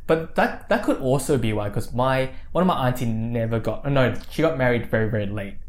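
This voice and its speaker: A man in his thirties from Australia, co-hosting a podcast in relaxed, teasing casual chat. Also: mid-range voice, fairly quick. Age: 30s. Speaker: podcast co-host.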